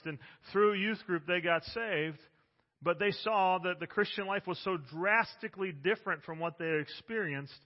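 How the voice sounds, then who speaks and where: A man in his forties preaching a sermon as pastor, loud and emphatic, with frequent restarts, recorded on a microphone in a church. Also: high-pitched. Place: church sanctuary. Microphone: handheld or stand microphone. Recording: dull sound with long hall reverb.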